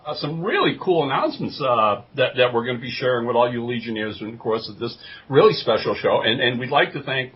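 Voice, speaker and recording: A man co-hosting a podcast, chatting casually in a husky voice. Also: mid-range voice, expressive, fairly quick, few pauses. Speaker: podcast co-host. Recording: slightly dull lo-fi audio.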